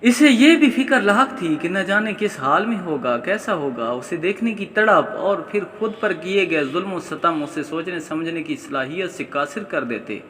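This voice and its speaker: A male storyteller with a fairly high, very bright and forceful voice, reading a dramatic story. His voice rings out in a long hall-like reverb. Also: animated, moderate pace, few pauses, a little hiss or hum. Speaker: audiobook narrator. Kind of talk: dramatic scripted story narration.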